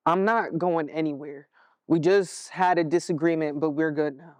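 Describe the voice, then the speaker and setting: Chill worried american big brother guy acting, casual talk, slightly high voice, dry sound. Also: steady tone, moderate pace, a little mellow, no echo, natural voice. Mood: concerned. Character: concerned, easygoing older brother, young adult. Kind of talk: stage play acting.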